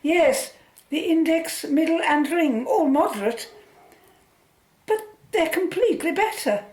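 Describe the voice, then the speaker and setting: A woman playing an earnest, astonished scientist in a radio drama, with a halting, wondering delivery. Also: very high-pitched, big pitch swings, a little mellow, full character voice. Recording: roomy, echoing sound.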